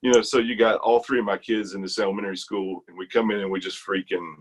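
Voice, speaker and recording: A middle-aged American man telling stories casually in conversation, animated and colloquial. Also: fairly low pitch, fast, husky, poor audio. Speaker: interview guest, retired army chorus bass singer.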